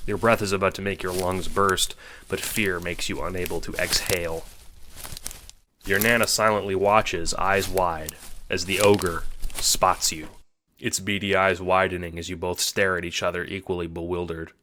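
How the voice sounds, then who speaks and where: Deep-voiced male audiobook narrator. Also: steady tone, moderate pace, bright, forceful tone, slight background noise. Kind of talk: scripted second-person audiobook narration.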